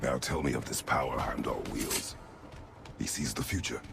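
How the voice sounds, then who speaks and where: Casting: grim middle-aged warrior for game drama. Extremely low, heavily creaky, clipped formal lines. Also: male voice, normal speed, over loud music, full character voice. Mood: grim, commanding. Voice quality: deep, gravelly. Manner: terse, formal, without contractions. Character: stern, huge middle-aged male warrior, grimly terse. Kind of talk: video game drama acting.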